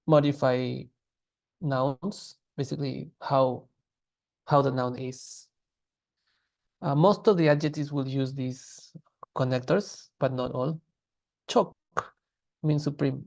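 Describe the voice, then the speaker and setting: Gravelly-voiced man teaching a language class. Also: mid-range voice. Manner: patient, relaxed, with many fillers and restarts. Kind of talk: explaining grammar in a class.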